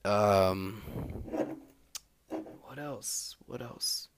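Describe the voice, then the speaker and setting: A young man records a personal audio log on a handheld recorder, his voice sitting a little low. He talks in a relaxed, candid way, drifting between thoughts with plenty of fillers and false starts. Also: drawn-out words, background noise. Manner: meandering, full of fillers and restarts; laid-back and candid. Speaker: exchange student keeping an audio diary. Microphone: handheld recorder held close.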